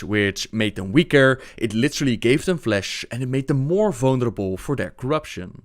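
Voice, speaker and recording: Male narrator, mid-pitched, scripted game story explainer, video voice-over.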